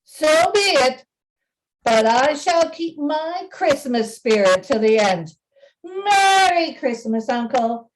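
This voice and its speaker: A woman playing a cheerful, warm-hearted young nephew in a radio play, hearty and earnest. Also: sits high, sing-song, slow pace, exaggerated voice, rough recording.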